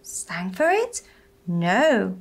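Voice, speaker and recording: A woman's voice: medium pitch, wildly swooping pitch, extremely slow, slightly rough, pitched below the performer's own voice, full character voice. A woman voicing a kindly, helpful star fairy in a sweet, encouraging singsong for a children's puppet tale.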